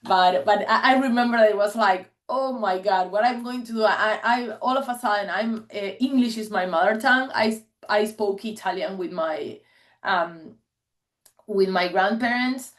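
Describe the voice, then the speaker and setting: Woman, 40s, native Spanish speaker, mid-pitched; chatty, earnest presenter on a video call. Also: normal speed. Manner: earnest, chatty storytelling with frequent laughs. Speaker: guest speaker. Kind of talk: presentation to an online meeting.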